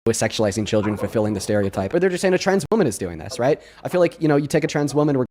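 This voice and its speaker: A man's voice: mid-range voice, big pitch swings, very fast, a little mellow. A man arguing in a debate, rambling and often restarting or rephrasing his points. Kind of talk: debate argument.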